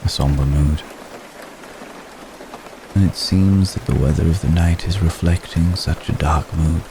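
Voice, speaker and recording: Very deep, gravelly vampire gentleman played by a man. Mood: somber, wistful. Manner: formal, measured, solemn, with long pauses. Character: male vampire gentleman, ancient, brooding, remorseful, tender. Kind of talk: ASMR roleplay, intimate slow dramatic monologue. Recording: steady rain noise behind the voice. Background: rain.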